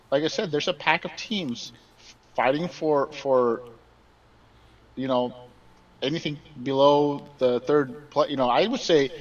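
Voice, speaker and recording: Distant, muffled man in sports chat. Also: on the high side. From Mexican background. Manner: emphatic, stumbles and repeats words. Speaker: podcast co-host. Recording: dull distant remote audio.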